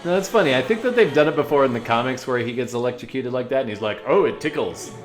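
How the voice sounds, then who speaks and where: A man hosting a reaction video, chatting casually and musingly in halting asides as he comments on a cartoon. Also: mid-range voice, expressive, soft background music.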